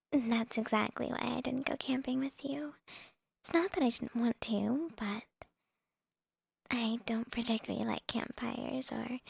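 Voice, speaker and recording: Young female vampire with a crush, acted by a woman: higher-pitched, unhurried, whispery ASMR roleplay, soft, shy and playful. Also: dry recording, full character voice. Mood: shy, gentle. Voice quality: breathy, whispery.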